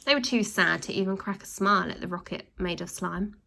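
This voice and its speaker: A woman narrating a children's book aloud in an animated storytelling style. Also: fairly low pitch, brisk, gravelly.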